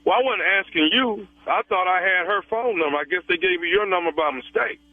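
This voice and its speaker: A man in his thirties speaks over a thin phone line with no room sound. His fairly high voice sounds casual, and he keeps halting on fillers. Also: dry recording, faint music behind. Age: 30s. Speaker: prank caller. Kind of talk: prank phone call. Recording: tinny dry phone line.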